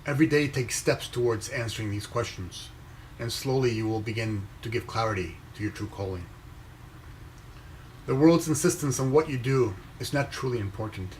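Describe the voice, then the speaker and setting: A man raised in Venezuela speaks in a slow, measured, reflective way, breaking his sentences into short phrases with pauses. His voice sits at a middle pitch, with a faint hiss of background noise. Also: darkish tone, poor audio. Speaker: inspirational speaker reading his own post. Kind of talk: reflective motivational reading and commentary.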